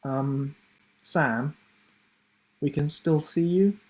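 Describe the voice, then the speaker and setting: A man's voice: a little high, extremely slow, darkish tone, rough recording. A man voicing a sceptical, teasing young girl in a children's story. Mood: doubtful.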